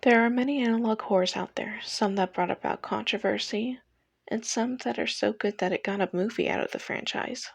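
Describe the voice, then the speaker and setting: Young female video essayist, mid-pitched with noticeable vocal fry, reading a personal script in a dry space. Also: brisk, dry recording.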